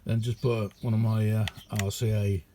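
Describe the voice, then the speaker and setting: Middle-aged man, soft dark tone, casual halting hobbyist demo, faint background noise. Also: fairly low pitch, flat delivery. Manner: unhurried, halting, colloquial. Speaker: radio hobbyist showing a homemade receiver. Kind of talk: casual hands-on demonstration vlog. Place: indoor hobby radio room.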